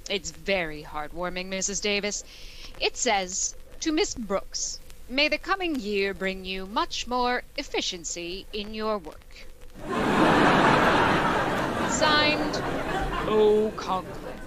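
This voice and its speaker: Woman acting a sardonic schoolteacher, animated mid-range voice, old-time radio comedy, noisy audience behind. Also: mid-range voice, takes it slowly, a loud crowd. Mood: dry, pleasant. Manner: dry, sardonic, crisply delivered. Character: wry, patient unmarried English teacher. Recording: clear background noise, audience sounds.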